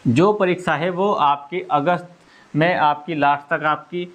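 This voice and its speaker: A man with a slightly high voice talks as an exam coach. He explains things informally, point by point, and goes back over each one with frequent little checks for understanding. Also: steady tone, unhurried. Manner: repetitive, explanatory, often checks with "okay".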